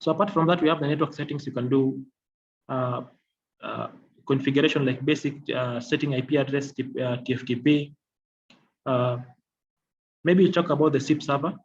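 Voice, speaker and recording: A man giving a software demonstration as a technical trainer, explaining in a halting, repetitive way. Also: medium pitch, steady tone. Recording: dull lo-fi audio, faint noise.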